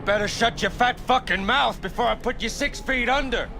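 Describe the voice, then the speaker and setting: Menacing nomad heavy, high-pitched, blunt slang, game scene with clear street noise behind. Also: male voice, normal speed. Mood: threatening. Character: gruff, menacing male nomad tough guy. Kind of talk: video game voice acting.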